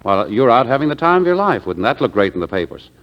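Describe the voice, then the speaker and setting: A man's voice: medium pitch, very animated, quick pace. A man acting a flirtatious, stubborn rich patient in a theatrical 1940s radio style, playful and wheedling. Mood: teasing. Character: flirtatious, stubborn wealthy male patient, eager and charming. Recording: muffled 1940s radio broadcast.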